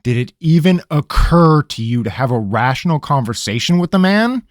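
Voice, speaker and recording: A man's voice: a little high, animated, normal speed, dry recording, clean studio sound, voice pushed up, natural voice. A man voicing a stern, impatient male interrogator who questions bluntly and growls in exasperation.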